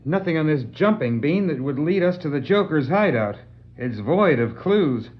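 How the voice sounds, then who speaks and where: An actor playing an eager, earnest young superhero sidekick with keen, emphatic delivery on a 1960s adventure record. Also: male voice, fairly high pitch, animated, normal speed, close to an everyday voice. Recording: dull 1960s vinyl record dramatization.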